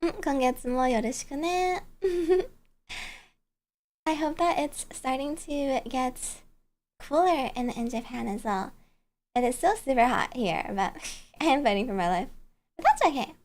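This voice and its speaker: A woman's voice: high-pitched, lively intonation, unhurried, pauses often, darkish tone. A woman livestreamer chatting casually and greeting viewers in a bubbly, giggly, cutesy and playful manner. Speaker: livestreamer, virtual bear-girl host.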